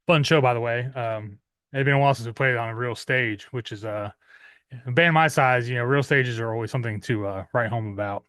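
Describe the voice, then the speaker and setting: A man in his thirties casually showing off his metal music purchases at home, rambling with many "uh" fillers and clearing his throat often. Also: mid-range voice, a little fast, a bit bright, dry recording. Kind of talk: casual unboxing chat.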